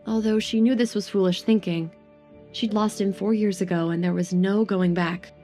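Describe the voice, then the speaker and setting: A woman's voice: medium pitch, warm tone. A woman narrating a scripted romance drama as its storyteller.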